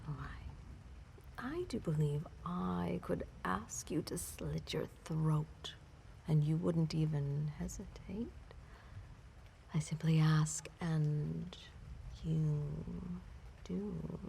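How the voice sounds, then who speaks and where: A haughty vampire mistress speaks in a low, creaky voice that slips into breathy whispers. She draws out her archaic phrases with regal disdain and cunning, as in an intimate roleplay audio drama. Faint background noise sits behind her. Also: mellow, full character voice. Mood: fond, haughty. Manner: haughty, archaic, theatrical phrasing with lingering pauses.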